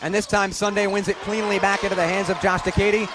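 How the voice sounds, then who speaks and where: A young man doing animated live radio basketball play-by-play, vividly calling the action and raising his voice on big plays. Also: sits high, fast, music in the background. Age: young adult. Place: courtside in a noisy basketball arena. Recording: loud arena crowd and bouncing ball. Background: crowd noise, basketball bounces.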